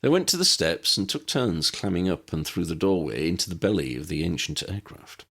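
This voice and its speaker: A man reads fiction aloud as a narrator. His voice is deep and husky, and his pitch swings widely as he tells the tale. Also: big pitch swings, brisk, a bit bright. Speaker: audiobook narrator.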